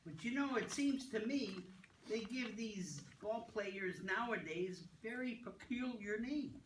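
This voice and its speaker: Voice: medium pitch, animated, unhurried, lightly acted. An amateur reader playing an unflappable baseball coach, the matter-of-fact straight man in an old-time radio comedy routine. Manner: matter-of-fact, insistent answers. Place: meeting room. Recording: roomy, distant mic, noisy with clicks.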